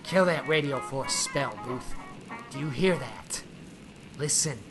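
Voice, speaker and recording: A man's voice: mid-range voice, expressive, unhurried. Male actor as a jumpy, superstitious controlman. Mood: uneasy. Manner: uneasy, grumbling. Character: nervous, superstitious airfield controlman, older-pal type, reluctant. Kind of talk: old time radio drama acting. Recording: loud music and storm effects behind voice.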